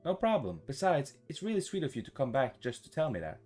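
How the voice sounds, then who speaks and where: A man's voice: mid-range voice, wildly swooping pitch, fast talker, a little mellow, pitched above the performer's own voice. Man doing a flirty, teasing male loner. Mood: smug, flirty. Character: smug, flirtatious, bashful male loner of the woods. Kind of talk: visual novel dialogue read-aloud. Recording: music behind the voice.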